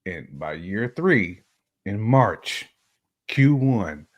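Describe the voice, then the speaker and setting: Deep-voiced man in casual, emphatic talk. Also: big pitch swings, extremely slow. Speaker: podcast guest. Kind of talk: casual conversational opinion talk.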